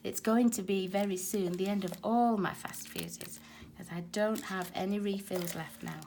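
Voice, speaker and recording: A woman with a raspy voice, hoarse from a lingering cold, talks through a card-making demonstration. She sounds chatty and explanatory as she works with her hands at her craft table, and her pitch sits in a middle range. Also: steady tone, normal speed, darkish tone, slight background noise, rough recording. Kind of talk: casual crafting tutorial. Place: craft table at home, filmed from overhead.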